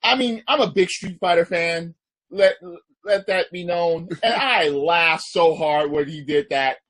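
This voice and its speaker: Laid-back middle-aged guy, husky voice. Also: a little high, unhurried, fairly bright tone. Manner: laid-back, laughs often, swears casually. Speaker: movie reviewer. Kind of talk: casual movie review chat.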